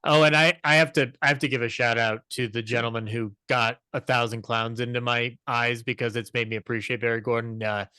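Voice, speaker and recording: Bright, dry-sounding man in casual chat. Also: medium pitch, flat delivery, fairly quick, few pauses, clean tone, no echo. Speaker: podcast panelist. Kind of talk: casual chat about old television.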